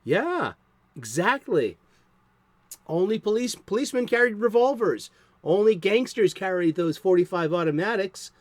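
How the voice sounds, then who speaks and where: Host, male, 50s, light Boston accent; high-pitched, rambling, enthusiastic, dry close sound. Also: sing-song, normal speed, dry recording. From South Boston, USA. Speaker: comic book show host. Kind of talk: casual chat to the viewer.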